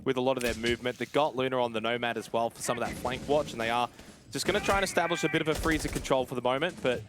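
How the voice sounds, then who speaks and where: A man's voice: mid-range voice, steady tone, racing, background noise. A man giving live play-by-play commentary on an esports match as a caster.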